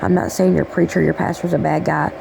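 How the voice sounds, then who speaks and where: Gravelly raspy woman channeling spirit messages. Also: sits low, steady tone, brisk, background noise. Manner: rambling, affectionate, repeats "okay?" and "um". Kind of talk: casual spiritual message monologue.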